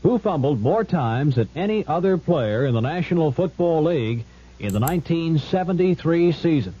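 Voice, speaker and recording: High, dark-toned husky sports radio man. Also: lively intonation, normal speed. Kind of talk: scripted radio promo. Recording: muffled old radio broadcast.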